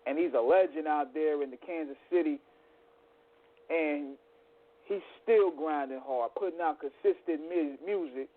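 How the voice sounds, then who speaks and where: A man hosts a casual radio show, hyping up listeners and the guest in slangy talk. His voice sits fairly high, and the audio sounds thin and phone-like. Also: measured pace. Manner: hype, slangy, shout-outs. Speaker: podcast host.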